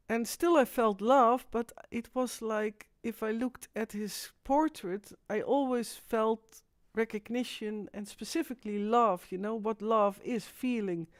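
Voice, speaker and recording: A Dutch woman hosting a live stream, talking in a rambling, halting way with frequent restarts. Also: a little high, no room sound, studio quality. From Netherlands. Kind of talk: casual live stream monologue.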